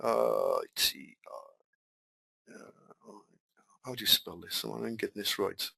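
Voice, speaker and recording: A man's voice: fairly low pitch, steady tone, slow pace, vocal fry, bright, forceful tone, slightly rough. Welsh-speaking man coaching on a webinar. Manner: chatty, repetitive, reads questions aloud then answers. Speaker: webinar host and marketing coach. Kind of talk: live webinar Q&A.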